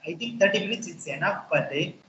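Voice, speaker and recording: A young man with a Tamil accent speaks halting English in a bright, slightly high voice. The sound is dull and roomy, with faint noise behind him. He pauses often and restarts his sentences. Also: lively intonation. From Tamil Nadu, India. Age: 20s. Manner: hesitant, halting non-native English. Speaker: English learner. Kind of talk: casual conversation practice.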